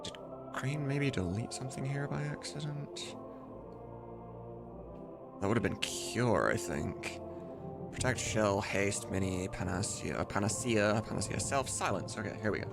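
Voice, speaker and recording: Male livestreamer, lowish register, loose informal delivery with some swearing, talking through his work over music. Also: measured pace, gravelly, pauses often. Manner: informal, swearing, thinking aloud. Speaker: streamer and mod voice director. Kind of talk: casual livestream chat while working. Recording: music behind the voice.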